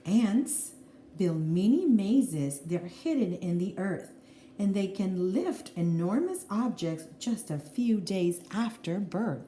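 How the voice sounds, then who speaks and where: Animated woman reading aloud to children. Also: mid-range voice. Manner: expressive, deliberate, addressing young children. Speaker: teacher reading a picture book aloud. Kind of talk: children's read-aloud with explanatory asides. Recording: roomy echo, faint background noise.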